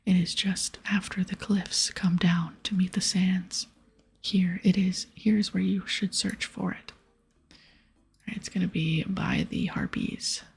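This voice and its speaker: A woman speaking in a soft, breathy whisper close to the mic, giving gentle, casual ASMR gameplay commentary. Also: on the low side, big pitch swings, unhurried. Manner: gentle, hushed, casual rambling. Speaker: ASMR gaming video creator. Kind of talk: ASMR whispered gameplay commentary with quest dialogue read aloud.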